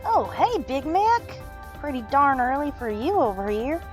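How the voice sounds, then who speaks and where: A woman's voice: high voice, big pitch swings, normal speed, soft background music. A woman voicing a feisty, stubborn young female cartoon pony farmer with a folksy drawl. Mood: friendly greeting.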